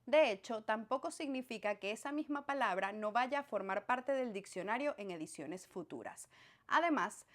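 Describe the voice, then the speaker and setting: A woman from Venezuela with a Venezuelan accent, explaining language points in a clear, didactic scripted lesson that speaks straight to the viewer. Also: fairly high pitch. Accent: Venezuelan Spanish. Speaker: language teacher.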